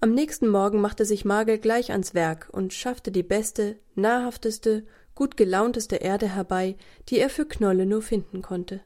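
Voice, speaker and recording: A woman narrating a scripted children's story as the storyteller, in an expressive, deliberate way. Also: mid-range voice.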